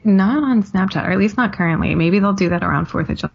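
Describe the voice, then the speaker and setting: A woman hosting a casual podcast chat, relaxed and agreeable. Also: medium pitch, fast talker, vocal fry, slightly rough, lo-fi sound. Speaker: podcast host.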